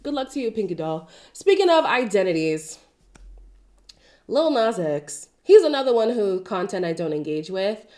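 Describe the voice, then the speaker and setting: A chatty woman from Zimbabwe, sick and talking at a middle pitch, hosts her own pop-culture commentary show. She talks freely and expressively and wanders into side tangents. She records alone at a desk mic. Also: big pitch swings, moderate pace, darkish tone. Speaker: commentary channel host.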